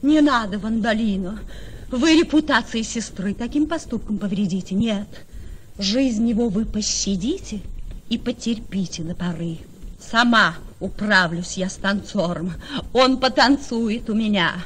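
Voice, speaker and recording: A woman's voice: mid-range voice, a little slow, slight background noise, close to an everyday voice, rough recording. An actress playing a proud, scheming young noblewoman in a radio drama, with an imperious, declamatory delivery. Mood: urgent, commanding. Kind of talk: theatrical radio drama in verse.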